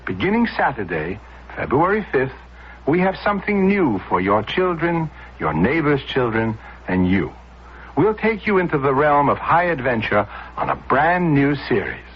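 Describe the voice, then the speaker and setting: A man's voice: a little high, big pitch swings, moderate pace, husky. A man announcing a scripted radio promo in a dramatic style. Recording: muffled, noisy old radio recording.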